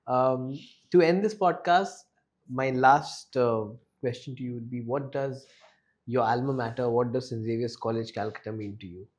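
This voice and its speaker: A man's voice: mid-range voice, moderate pace, darkish tone. A man with an Indian accent hosting a podcast interview, conversational and polite, often affirming what the guest says. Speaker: podcast host.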